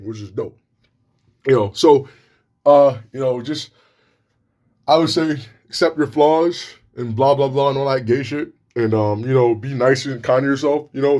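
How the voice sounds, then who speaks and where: Young male vlogger, deep, slightly slurred delivery, loose and frank, swears freely. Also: darkish tone. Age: young adult. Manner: rambling, frank, profane, many restarts. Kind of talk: casual talk to camera.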